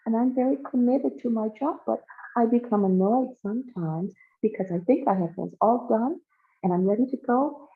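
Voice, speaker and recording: A woman's voice: fairly high pitch, pitched above the performer's own voice. A woman voicing a nervous, earnest, easily annoyed female worker in a told story. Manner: fretful, earnest. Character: nervous, fussy, committed young working woman, easily annoyed. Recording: thin phone-like band-limited sound.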